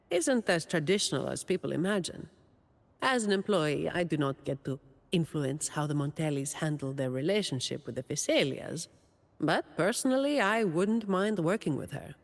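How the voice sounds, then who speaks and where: A woman playing a weary, dutiful young office employee in a video game, delivering scripted monologue in a dry, deadpan way. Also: low voice, gravelly, long reverb tail.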